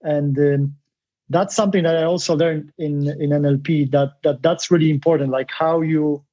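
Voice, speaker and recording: A man with a Polish accent hosting an interview, speaking English as a second language in halting phrases with many restarts. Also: fairly high pitch, fairly even intonation, dull audio. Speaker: podcast host. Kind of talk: casual interview.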